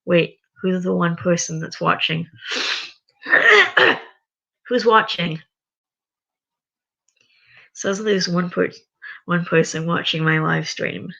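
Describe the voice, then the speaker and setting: A livestreamer reading a story aloud and chatting to viewers, voice going raspy. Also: mid-range voice, lively intonation, takes it slowly, pauses often, bright, forceful tone, highs cut off. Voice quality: voice getting raspy. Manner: rambling, self-correcting. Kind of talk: reading a story aloud, then casual chat.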